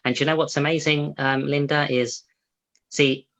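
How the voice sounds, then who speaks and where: A man's voice: fairly high pitch, flat delivery, moderate pace, a bit bright. Guy hosting a live interview on a video call, rambly, keeps restarting sentences. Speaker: host.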